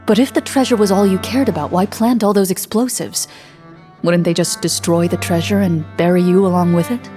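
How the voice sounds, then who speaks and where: An actress playing a composed female champion duelist in a game, speaking calmly and asking measured questions. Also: fairly low pitch, faint music behind, lightly acted. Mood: probing. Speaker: game character. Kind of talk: video game dialogue acting.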